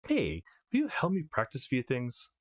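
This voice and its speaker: A man's voice: mid-range voice, huge pitch swings, normal speed, dry recording, clean studio sound. A man voicing a feisty, foul-mouthed teenage girl in a visual novel, brash and confrontational. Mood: earnest. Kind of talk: acted visual novel read-aloud.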